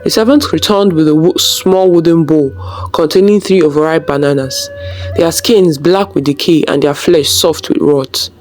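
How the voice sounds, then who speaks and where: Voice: mid-range voice, normal speed, a little mellow, faint music behind. A storyteller reading a scripted folktale as its narrator.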